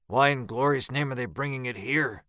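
A man voicing a tired, ailing rancher, gruff and irate with fear underneath, in an audiobook story. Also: medium pitch, normal speed, sharp tone, dry recording, clean studio sound, natural voice. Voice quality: weak, weary. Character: tired, ailing, irritable rancher, scared beneath his anger.

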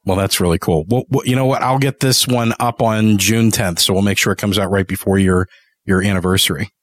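Guy interviewing someone on a podcast, lowish voice, chatty and informal. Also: steady tone, brisk, no echo, studio quality. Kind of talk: casual interview conversation.

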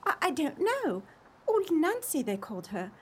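Woman acting a very high-voiced gentle maiden. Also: wildly swooping pitch, moderate pace. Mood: wistful. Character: gentle, wistful young orphaned cottage maid. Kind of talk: earnest radio play acting.

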